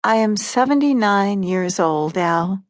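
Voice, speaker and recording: A woman's voice: medium pitch, expressive, takes it slowly, dry recording, studio quality. A woman voicing a stubborn, spirited seventy-nine-year-old wife who speaks firmly and patiently in a storybook reading. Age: older. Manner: firm, patient, rising to calls through a door.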